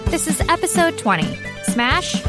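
A woman's voice: sits high, very animated, measured pace, loud music behind the voice. A woman co-hosting a podcast with casual, comedic banter in a playful, jokey manner. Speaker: podcast co-host.

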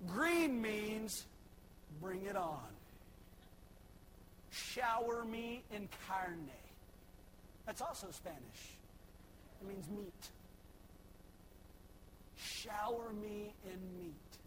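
A young man preaching a sermon, swinging from shouted exhortation to soft, folksy asides. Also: sits high, expressive, drawn-out words, pauses often, noisy background. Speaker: pastor.

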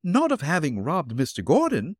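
A man's voice: wildly swooping pitch, normal speed, dry recording, studio quality. A man doing a polite elderly landlady. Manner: polite, flustered, high put-on voice. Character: elderly refined gentle landlady, woman. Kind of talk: audiobook character reading.

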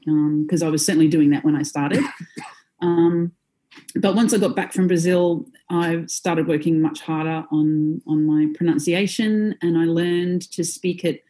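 A woman who sings in a band, talking in an interview in a reflective, easygoing way, with frequent pauses and small laughs. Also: fairly low pitch, steady tone, mellow. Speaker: singer in a band, guest. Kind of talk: conversational interview.

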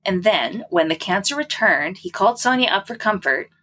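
A woman's voice: medium pitch, lively intonation, moderate pace, bright, forceful tone, breathy edge. A woman co-hosting a podcast, casually recapping a book with wry, sarcastic asides. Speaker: podcast co-host.

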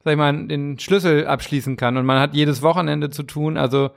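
A man's voice: on the high side, steady tone, brisk, creaky. A man hosting a podcast interview in a conversational way, feeling his way through wordy, rephrased questions.

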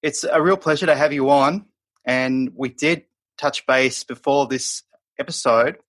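A man's voice: medium pitch, measured pace, a bit bright. A man hosting a podcast, reading a friendly introduction and then asking his guest questions. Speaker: podcast host.

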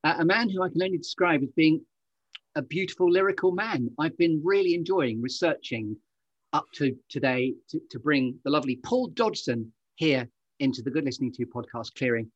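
A man's voice: fairly high pitch, expressive, a little fast, no room sound, highs cut off. A man hosting a podcast interview in an effusive, chatty way, with wordy, meandering asides. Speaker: podcast host.

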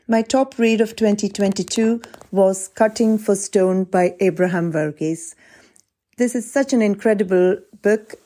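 A woman's voice: mid-range voice, dark tone. A woman speaking as a podcast guest, giving an appreciative, descriptive personal book recommendation. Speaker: podcast guest, oncologist reviewing books.

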